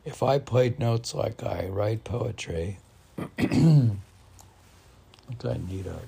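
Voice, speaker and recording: A man with a very low, husky voice muses through his own poems on a homemade podcast. He speaks haltingly and at a slow pace, wandering between reading and talking to himself, often clearing his throat. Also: dark tone. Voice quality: gravelly, husky. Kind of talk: informal poetry reading with rambling self-dialogue.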